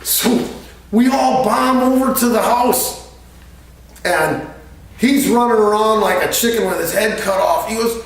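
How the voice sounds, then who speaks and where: A man's voice: high-pitched, a little slow. A middle-aged man casually telling a story in a colloquial, crude, joking way, recorded in a garage on a camera several feet away. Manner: colloquial, crude humor, chuckles as he tells it. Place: garage workshop, indoors. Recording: echoey, distant camera mic with faint noise.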